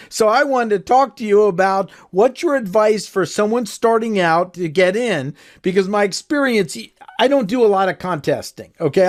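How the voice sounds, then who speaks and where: A man with a clearly high-pitched voice hosts a casual interview. He speaks conversationally, as an interviewer chatting with a guest.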